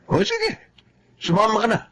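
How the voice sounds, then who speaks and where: A man's voice: extremely high pitch, wildly swooping pitch, moderate pace, vocal fry, sharp tone, slight background noise, heavily acted. A man playing a hard-drinking, boastful family man with a Filipino accent in a radio drama. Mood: breezy, cocky.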